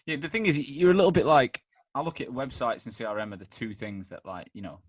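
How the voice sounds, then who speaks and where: A man's voice: mid-range voice, quick pace. A man hosting a podcast in a casual, chatty way, informal and restarting his questions mid-sentence. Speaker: podcast host. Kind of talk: casual chat interview.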